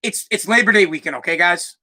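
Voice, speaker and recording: A young man with a Boston accent hosting his own podcast, ranting loudly and profanely as he talks straight to his listeners. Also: sits high, a little fast. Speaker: podcast host. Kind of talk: solo podcast rant.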